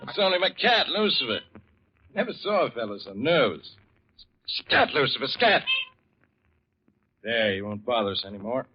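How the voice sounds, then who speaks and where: An actor playing a folksy, obliging and easily puzzled small-town judge in a vintage radio thriller. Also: male voice, mid-range voice, big pitch swings, normal speed, pauses often, breathy edge. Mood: genial, unhurried. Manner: folksy, drops words. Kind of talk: 1940s radio thriller acting. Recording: muffled, noisy vintage radio recording.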